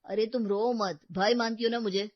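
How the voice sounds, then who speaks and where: A woman's voice: a little high, fairly even intonation, moderate pace, close to an everyday voice. A woman voicing an indignant young man who defends a mistreated woman. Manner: indignant, consoling. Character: protective young man, angry brother figure. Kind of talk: storybook voice acting. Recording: dull lo-fi studio voice.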